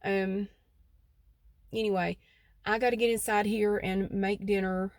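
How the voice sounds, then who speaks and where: A woman's voice: medium pitch, flat delivery, measured pace, no room sound. Chatty North Carolina woman, dry close sound. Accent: central North Carolina. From central North Carolina. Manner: chatty, rambling, folksy. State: stuffy nose. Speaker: vlogger. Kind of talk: casual vlog chat. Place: outdoors by her house.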